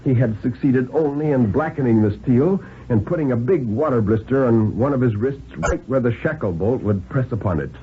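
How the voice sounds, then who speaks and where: Expressive man reading on vintage radio. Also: mid-range voice, slight background noise. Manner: deliberate, dramatic, expressive phrasing. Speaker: storyteller reading a thriller story. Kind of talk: 1950s radio dramatic reading of prose. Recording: narrow-band vintage 1950s radio recording.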